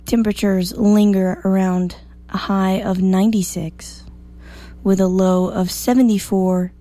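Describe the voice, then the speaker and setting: A woman hosting a morning radio show, slightly hoarse, chatty and upbeat as she reads the weather. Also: medium pitch, little pitch movement, a little slow, dark tone. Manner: chatty, upbeat, self-joking. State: a little hoarse.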